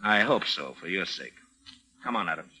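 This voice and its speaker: An American actor playing a shrewd, suspicious homicide lieutenant in a vintage radio crime drama, clipped and sardonic. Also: male voice, sits low, expressive, normal speed, pushed and bright, slightly rough, heavily acted. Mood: sardonic, warning. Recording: noisy vintage radio recording with clicks.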